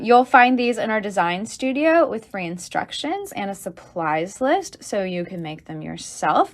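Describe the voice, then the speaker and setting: A woman of ordinary middle pitch hosts a relaxed beading tutorial, talking through each step as she works. She is enthusiastic and chatty, dropping in asides and soft fillers as she goes. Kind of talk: casual livestream tutorial.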